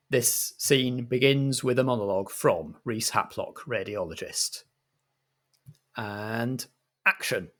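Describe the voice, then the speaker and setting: Creaky man as theatrical compere. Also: mid-range voice. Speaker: host, compere and game master. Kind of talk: scripted announcements and scene narration.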